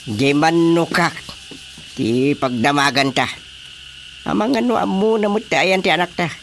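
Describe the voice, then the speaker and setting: Frog king dad, slow deliberate talk, sharp highish voice, filipino radio drama. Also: a little hiss or hum. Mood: concerned.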